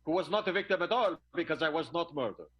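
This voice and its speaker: A man's voice: a little high, expressive, fast, a bit bright, slightly rough. A man playing a dim, earnest Dutchman in an improvised comic radio soap, stammering and wordy in formal phrases. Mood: puzzled, earnest. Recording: guitar music behind the voice. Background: plucked guitar music.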